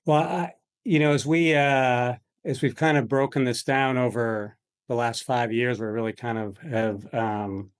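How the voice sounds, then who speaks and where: A man's voice: medium pitch, fairly even intonation, measured pace, smooth. Middle-aged American man, hesitant interview guest. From Buffalo, New York, United States. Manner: hesitant, meandering phrasing. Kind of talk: conversational interview answers.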